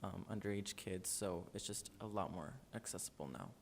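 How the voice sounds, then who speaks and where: A man's voice: moderate pace, gravelly. Nineteen-year-old man hosting a podcast. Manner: friendly, loose, many fillers. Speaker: podcast host. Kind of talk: casual student podcast chat. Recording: long reverb, faint rumble.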